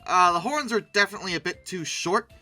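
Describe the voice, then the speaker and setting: A video host giving casual, humorous commentary in an expressive, emphatic way with wry, sarcastic asides. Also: mid-range voice, fairly quick, faint music behind.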